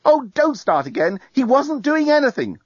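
A man voicing an excitable, sharp-tongued woman in a sci-fi audiobook, full of retorts and exclamations. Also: sits high, moderate pace, husky, no echo, highs cut off, pitched above the performer's own voice, exaggerated voice. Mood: testy.

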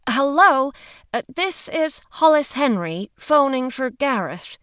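A woman's voice: high voice, big pitch swings, a little slow, clear, resonant tone, dry recording, studio quality, voice pushed up. A woman voicing an anxious, reluctant female character in an audiobook, hesitant and uneasy. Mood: nervous, hesitant.

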